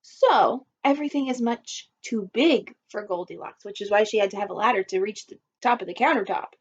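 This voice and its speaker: A woman teacher reading a children's story aloud expressively and pausing to put questions to her listeners. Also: mid-range voice, husky. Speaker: primary school teacher. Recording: dull lo-fi recording.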